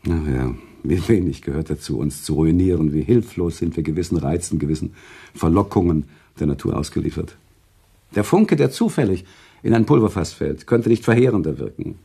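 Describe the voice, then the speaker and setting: A sentimental storyteller in a radio play. His low, gravelly voice swings widely between teasing and feeling, with dramatic pauses and emphatic swells. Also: expressive, warm tone, heavily acted. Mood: brooding. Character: sentimental, worldly male storyteller, emotional and teasing. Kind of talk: German radio drama acting, conversational storytelling.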